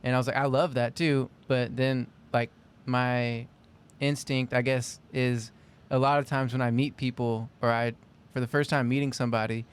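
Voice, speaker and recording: A man co-hosts a casual podcast in an unhurried, easygoing way, often restarting his sentences. His voice is smooth and clean, at a middle pitch. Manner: relaxed and easygoing, with frequent restarts. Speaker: podcast co-host. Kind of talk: casual chat.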